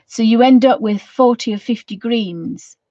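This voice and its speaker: A woman with a croaky voice from a cold, chatting casually as she gives a painting tutorial and rambling with many ums. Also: on the high side, measured pace, warm tone, no echo. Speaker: art channel host. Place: home studio. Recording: dry, slightly dull sound.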